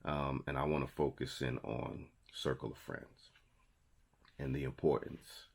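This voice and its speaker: A man's voice: rumbling low, level tone, measured pace, gravelly, breathy edge. A man in his thirties with a New York accent, giving a motivational talk to camera in a conversational, emphatic way and repeating his key phrases. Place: small indoor studio with a logo wall.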